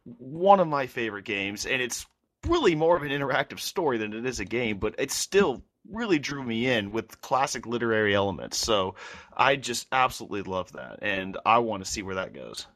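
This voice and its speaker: A man's voice: mid-range voice, very animated, brisk, a bit bright. Man in casual podcast conversation. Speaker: podcast host. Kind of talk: casual chat.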